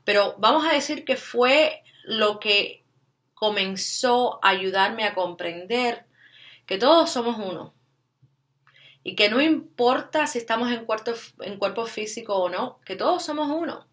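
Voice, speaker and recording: Bright-voiced Cuban woman vlogger. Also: fairly high pitch, lively intonation, a little slow. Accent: Cuban Spanish. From Cuba. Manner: slips English fillers into Spanish, frequent tag questions. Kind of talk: personal storytelling to camera.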